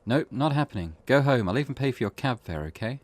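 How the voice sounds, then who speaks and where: Wary young protagonist read by a man, firm, lively lift to the lines. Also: medium pitch, fast, no room sound, voice pushed up, lightly acted. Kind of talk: reading game dialogue aloud.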